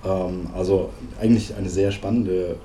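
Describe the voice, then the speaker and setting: Deep-voiced man with a light Thuringian accent. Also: steady tone, a little slow, dark tone, roomy sound, distant mic, slight background noise. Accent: light Thuringian regional. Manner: hesitant, many fillers. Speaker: linguist guest. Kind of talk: academic interview talk.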